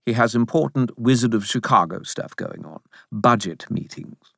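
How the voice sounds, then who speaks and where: A man's voice: mid-range voice, normal speed, gravelly, a bit bright, no echo, clean studio sound. A man voicing a smug, put-upon castle spirit who talks in a casual, dismissive, superior way for a storybook.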